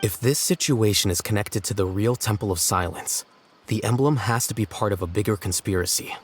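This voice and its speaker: A stern young judge voiced by a man speaks in a low, dry, deadpan way and keeps his lines curt. A faint hiss sits behind his voice. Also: fairly quick, close to an everyday voice. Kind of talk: game voice acting.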